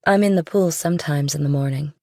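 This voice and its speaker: A woman's voice: low-pitched, fast, darkish tone, dry recording, clean studio sound. A woman playing a young, worldly, guarded girlfriend in an audiobook, speaking casually and directly. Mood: curious. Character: young worldly girlfriend of a rich older man, guarded, pointed. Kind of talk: audiobook character dialogue.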